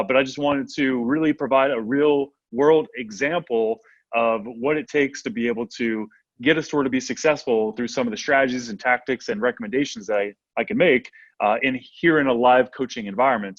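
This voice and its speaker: An upbeat man hosting a business podcast, speaking at a middling pitch with enthusiasm. His voice comes through a slightly dull, lo-fi recording in a dry, close space. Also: brisk, dry recording. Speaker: podcast host and e-commerce coach.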